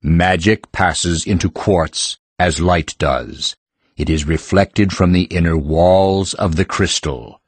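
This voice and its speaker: A solemn, ancient stone creature from a fantasy audiobook. His voice is very deep and very slow, with formal, teacherly phrasing and a dry, close sound. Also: husky, dry recording, exaggerated voice. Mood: solemn, instructive. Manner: formal, pedantic, measured wording. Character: ancient living mountain heart, solemn male stone teacher.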